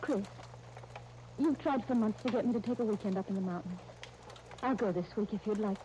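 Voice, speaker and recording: An actress playing a gentle, haunted young wife, tender and restrained, in an old-time radio drama. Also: female voice, mid-range voice, fairly quick, lo-fi sound, a little hiss or hum, close to an everyday voice. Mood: conciliatory. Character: gentle, haunted young wife Molly, sorrowful and self-denying.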